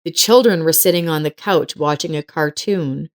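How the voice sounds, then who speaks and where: A woman's voice: low-pitched, normal speed. Woman narrating a dramatic personal story.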